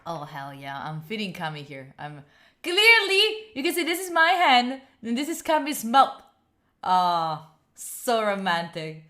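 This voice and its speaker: A woman's voice: mid-range voice, huge pitch swings, measured pace, bright, forceful tone. A young woman with an Italian accent chatting casually on a live stream, rambling and giggling with lots of fillers. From Italy. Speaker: study streamer.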